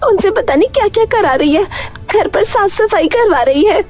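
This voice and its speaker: A woman's voice: very high voice, expressive, moderate pace, a little mellow, voice pushed up. A woman voicing a suspicious, alarmed village wife, urgent and questioning, in a cartoon story. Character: alarmed, suspicious village women wronged by their husbands.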